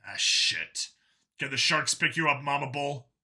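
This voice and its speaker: A man voicing a gruff, determined male cop who talks tersely and grumbles, in an audio story. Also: mid-range voice, lively intonation, measured pace, sharp tone, exaggerated voice. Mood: grim. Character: gruff, foul-mouthed male vigilante cop, grimly determined.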